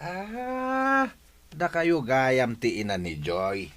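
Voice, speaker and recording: A man playing a gossipy, sympathetic neighbour, chatty and expressive, in an audio drama. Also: mid-range voice, very slow. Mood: curious, sympathetic. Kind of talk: Ilocano radio-style audio drama acting.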